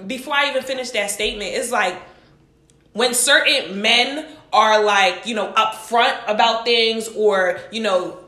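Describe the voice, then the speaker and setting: A woman's voice: a little high, unhurried, pushed and bright, echoey room. A woman leading a casual panel discussion among women, asking questions and pushing the talk along.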